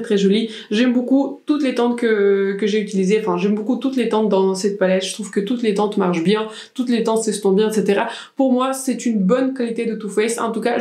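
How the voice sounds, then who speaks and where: A woman's voice: fairly high pitch, a little mellow, roomy sound. A young woman chatting through a casual beauty product review, rambling with restarts and fillers. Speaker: beauty vlogger. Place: small indoor room with plants.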